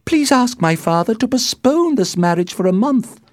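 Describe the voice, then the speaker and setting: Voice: medium pitch, very animated, measured pace, a little mellow, no echo, studio quality, pitched above the performer's own voice. A performer voicing a lovesick, distressed young noble daughter in a storybook reading, earnest and pleading.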